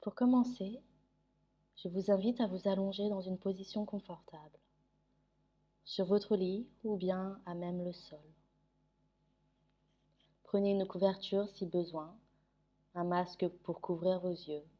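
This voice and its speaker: Female meditation guide, dark soft-edged timbre, mid pitch, soothing and unhurried with long pauses. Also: steady tone. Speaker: yoga nidra meditation guide.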